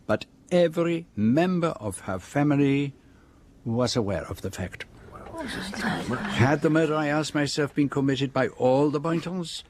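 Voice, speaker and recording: A methodical radio play detective, precise and formal, probing, self-assured, medium pitch. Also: male voice, a little mellow. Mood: measured, authoritative. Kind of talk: radio drama acting, monologue.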